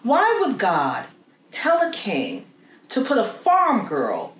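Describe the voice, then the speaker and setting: A middle-aged woman reading a story aloud for children in an expressive, deliberate way with dramatic pauses. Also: mid-range voice. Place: small indoor room against a plain wall. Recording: roomy echo with faint background noise.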